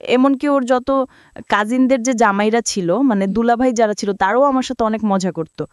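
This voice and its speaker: High-voiced young Bangladeshi woman, close and dry. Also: moderate pace, clean tone, no echo. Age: young adult. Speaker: guest telling own life story. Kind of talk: conversational personal storytelling.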